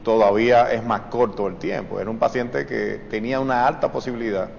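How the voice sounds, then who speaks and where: A man, a surgeon on a TV talk show, explaining patiently with many fillers and the odd clearing of his throat. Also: medium pitch, fairly even intonation, brisk, a little mellow, big-room sound, a little hiss or hum. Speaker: surgeon guest. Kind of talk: explanatory TV interview.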